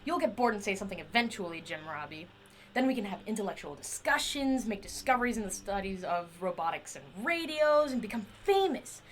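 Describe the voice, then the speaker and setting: A woman's voice: medium pitch, big pitch swings, a little fast, slight background noise, lightly acted. A woman playing a chatty, eccentric inventor in an audio drama, rambling eagerly and wheedling to justify herself. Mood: hopeful, confiding. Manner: rambling, wheedling, talks to a silent companion.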